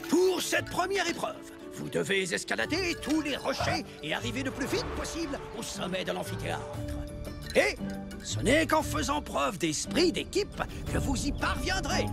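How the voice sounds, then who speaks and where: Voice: high voice, sing-song, normal speed, vocal fry, heavily acted. A performer voicing a cartoon games organizer who proclaims rules to children in a theatrical, rousing manner. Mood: rousing, ceremonial. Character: adult organizer announcing children's friendship games, solemn and rousing. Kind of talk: cartoon voice acting in French dub. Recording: music behind the voice.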